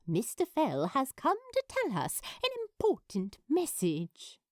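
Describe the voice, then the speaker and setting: A woman's voice: on the high side, huge pitch swings, slow, dry recording, clean studio sound, exaggerated voice. A woman voicing a composed, polished female TV talk-show host in an audiobook, with a presenter's delivery. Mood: inquiring. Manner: announcing, presentational.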